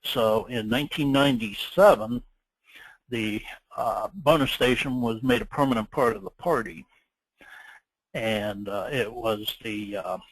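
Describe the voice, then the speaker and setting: A man with a mid-range voice gives an informal talk in the role of a club event chairman. His delivery is halting and hesitant, with a modest, dryly humorous manner. The sound is dry, with no room echo. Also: mid-range voice, husky. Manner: halting and hesitant, often trailing off. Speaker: event chairman giving a presentation. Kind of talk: informal history presentation.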